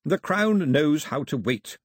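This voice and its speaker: Urbane, courtly royal official, acted by a male reader, assured, husky, with lively melodic swings. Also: a little high, unhurried, no echo, studio quality, pitched above the performer's own voice. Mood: smooth, assured. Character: smooth, formal, self-assured male crown official. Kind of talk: audiobook character voice.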